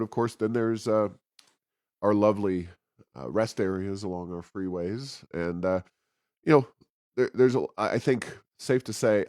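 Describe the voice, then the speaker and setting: A man with a low-pitched voice talks politics as a show co-host. His delivery is conversational and halting, with breaks in the middle of sentences. The sound is dry, with very little room in it. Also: a little mellow, no echo. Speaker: talk show co-host, think tank president.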